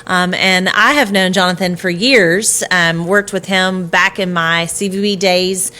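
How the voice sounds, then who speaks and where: A woman's voice: lowish voice, fairly even intonation, a little slow, sharp tone. A woman hosting a chamber of commerce podcast, upbeat and chatty with frequent filler words. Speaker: host, chamber of commerce president.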